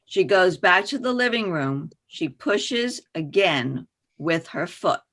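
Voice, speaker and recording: A woman's voice: on the low side, animated, slow pace, fairly bright tone. A woman reading scripted stage directions as narrator for a play reading.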